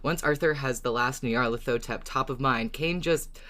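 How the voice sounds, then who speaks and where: A podcast co-host with an expressive, wry way of talking through recaps and casual discussion. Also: mid-range voice, moderate pace.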